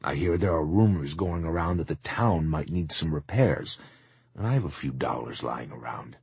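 A man voicing a kindly, dreamy old shopkeeper, gentle, encouraging and wistful, in an audiobook. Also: extremely low pitch, animated, moderate pace, slightly rough, no room sound, exaggerated voice. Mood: warm, wistful. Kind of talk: audiobook character acting.

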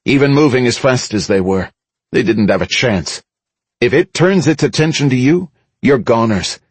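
A man's voice: mid-range voice, expressive, moderate pace, slightly rough, no echo, voice pushed up. Man acting blunt hard-nosed armored soldier, commanding. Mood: grim. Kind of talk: dramatised audiobook dialogue.

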